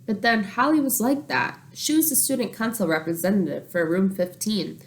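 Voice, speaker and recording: A woman reading a children's book aloud like a teacher, expressive and animated, acting out the dialogue. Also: medium pitch, normal speed.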